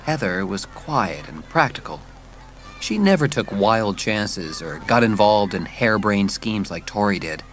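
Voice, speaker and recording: A man's voice: lowish voice, big pitch swings, measured pace, a bit bright. A man reading scripted narration as the storyteller of a dramatised audiobook.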